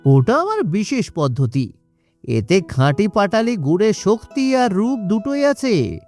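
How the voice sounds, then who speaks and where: A man's voice: high voice, very animated, measured pace, a little mellow, faint music behind, exaggerated voice. A man voicing a sly, boastful swindling salesman in a children's cartoon, brash and wheedling. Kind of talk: cartoon story voice acting.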